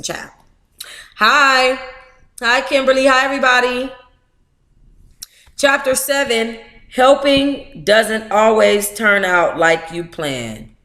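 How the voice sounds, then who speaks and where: An American woman reads aloud as a book club host in an echoey room. Her delivery is informal and animated, moving between narration and playful, blunt asides. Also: medium pitch. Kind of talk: informal read-aloud with candid, profane asides.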